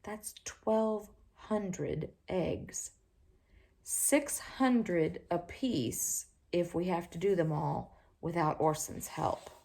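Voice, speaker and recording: A woman voicing a steady, practical father in a children's storybook, musing in a measured way. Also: lowish voice, vocal fry, heavily acted. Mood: pondering.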